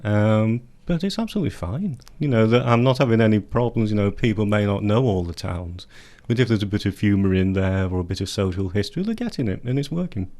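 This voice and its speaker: A man's voice: lowish voice, lively intonation, a little fast, few pauses, mellow. A man, a poet chatting in a radio studio interview and reading his work, with light laughs. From England. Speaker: poet guest.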